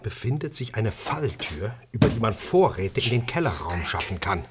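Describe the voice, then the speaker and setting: A low, highly varied male voice as a brooding chef who narrates his own crime story. The delivery is intense and dramatic radio-play storytelling, with clear sound effects behind it. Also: big pitch swings, moderate pace, very noisy. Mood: intense, secretive.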